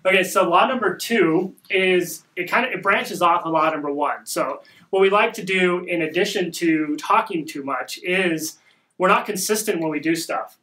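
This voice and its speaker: A young man hosting a casual podcast at home, talking in a rambling, upbeat way with a soft voice, recorded by a camera across a small room. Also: high voice, moderate pace, rough recording. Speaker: podcast host. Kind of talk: casual marketing podcast talk.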